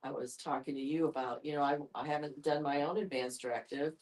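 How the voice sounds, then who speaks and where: Older participant in a reflective discussion, thinking aloud, medium pitch, picked up by a distant mic. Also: steady tone, fairly quick, darkish tone. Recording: distant microphone.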